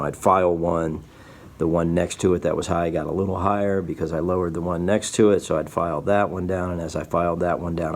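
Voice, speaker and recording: A man's voice: low-pitched, vocal fry, a little mellow. A man in his fifties giving a casual, folksy workshop tutorial, patiently explaining each step as a guitar repair technician. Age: 50s. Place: small indoor guitar workshop. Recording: faint background room noise.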